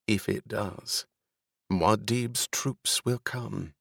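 A man voicing a gruff, loyal retainer in an audiobook, speaking formally and deferentially with urgency and worry. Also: fairly low pitch, steady tone, very slow, fairly bright tone, no echo, clean studio sound, full character voice. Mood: worried.

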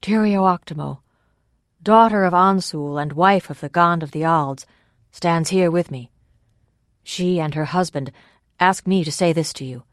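A woman voicing a solemn male orator in an audiobook, addressing a vast crowd in a ringing, clear, slow and formal declamation. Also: on the low side, dry recording, clean studio sound, natural voice. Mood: solemn, urging.

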